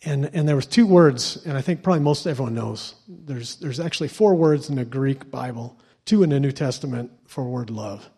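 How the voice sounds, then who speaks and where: A man's voice: medium pitch, lively intonation, moderate pace, few pauses, dark tone, husky. A man from Ohio giving a sermon to a church congregation as a guest lay preacher, stumbling and repeating words with lots of fillers. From Ohio, USA.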